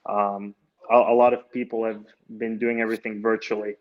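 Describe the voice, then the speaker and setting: Young man, flat easygoing speech. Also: on the low side, normal speed, dull audio. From United States. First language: Greek. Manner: easygoing, hesitant with many restarts. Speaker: guest, amateur runner and goalkeeper. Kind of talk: casual interview answers.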